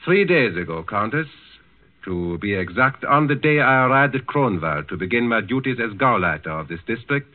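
An actor playing a suave, menacing German Nazi district governor in a 1940s radio drama, with a clipped, condescending manner. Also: male voice, mid-range voice, expressive, normal speed, phone-quality sound, natural voice. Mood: blunt, officious. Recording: band-limited old 1940s radio recording.

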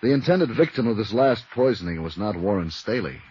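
Blunt police lieutenant, muffled recording. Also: male voice, fairly low pitch, lively intonation, a little fast, dark tone, slightly rough. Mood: brisk. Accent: American. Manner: blunt, commanding. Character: gruff, brisk male police lieutenant. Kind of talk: 1950s radio drama acting. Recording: noisy, muffled 1950s radio recording.

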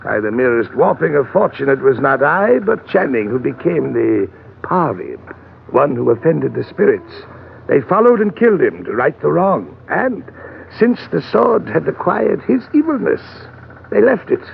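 A tinny, hissy old radio recording of a corpulent gentleman adventurer. His highish male voice swoops grandly and creaks with sighs as he rolls out pompous, oily phrases. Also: sing-song, normal speed, exaggerated voice. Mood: musing, sly. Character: fat, flowery, eager gentleman treasure hunter. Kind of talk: old-time radio drama acting.

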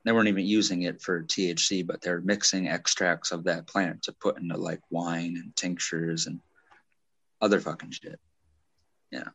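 A man's voice: deep voice, flat delivery, normal speed, fairly bright tone, no room sound. A man with a Wisconsin accent chatting casually as podcast co-host, rambling with lots of fillers and swearing. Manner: rambling, filler-heavy, profane. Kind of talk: casual chat.

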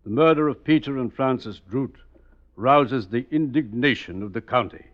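A man's voice: medium pitch, normal speed, natural voice. Man acting a grave, righteous old-time judge. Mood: solemn, indignant. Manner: grave, oratorical. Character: stern, righteous courtroom judge of the 1790s. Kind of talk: 1950s radio drama acting. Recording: dull old radio recording.

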